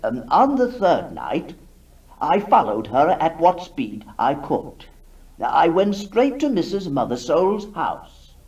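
Man acting a slow, hesitant country squire, high-pitched. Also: wildly swooping pitch, darkish tone, recorded from a distance, lo-fi sound, exaggerated voice. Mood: sheepish, earnest. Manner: hesitant, stammering. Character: halting, self-important country squire testifying in court. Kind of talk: 1960s radio drama acting.

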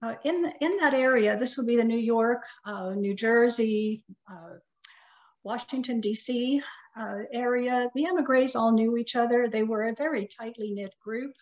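A middle-aged woman whose first language is Russian, giving a prepared lecture in a measured, careful way, her phrases trailing off softly. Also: on the high side, highs cut off. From United States.

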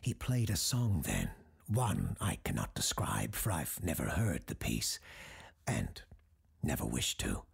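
An actor playing a grave, scholarly doctor who recounts dark legends in a horror audio drama, husky and ominous, with deliberate dramatic pauses. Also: male voice, a bass voice, wildly swooping pitch, exaggerated voice. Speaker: character host telling a tale.

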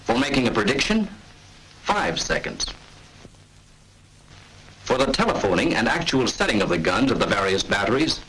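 A man giving scripted, measured and authoritative narration for a 1940s military training film. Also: mid-range voice, sing-song, fairly bright tone, a little hiss or hum, poor audio.

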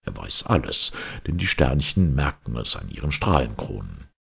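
Male storybook narrator, extremely low pitch, hugely varied intonation, gravelly and husky, expressive fairy-tale reading. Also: normal speed. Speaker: audiobook reader.

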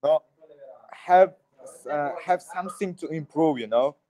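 A young man chatting casually and teasingly in halting on a phone video call. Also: on the high side, warm tone, low-quality recording. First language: not English. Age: young adult. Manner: teasing, joking. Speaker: stranger on a video chat. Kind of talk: casual chat in halting non-native English. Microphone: phone at arm's length.

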